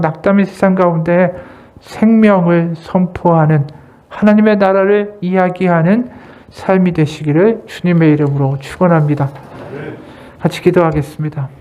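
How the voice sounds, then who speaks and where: A male pastor giving a church sermon, earnest and exhorting, in measured short phrases. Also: high-pitched, darkish tone. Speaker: associate pastor preaching. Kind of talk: Sunday sermon with read-aloud testimony and prayer.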